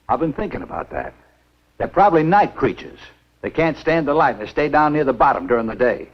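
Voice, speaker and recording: An actor playing a grave, reasoning small-town American doctor with a husky voice in a 1950s horror film. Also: male voice, mid-range voice, sing-song, a little fast. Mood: pondering. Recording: dull 1950s film soundtrack.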